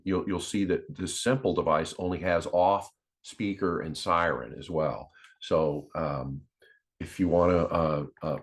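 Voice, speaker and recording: A man giving an informal, instructional device demonstration, halting and often repeating words as he explains things to viewers. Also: deep voice. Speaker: presenter.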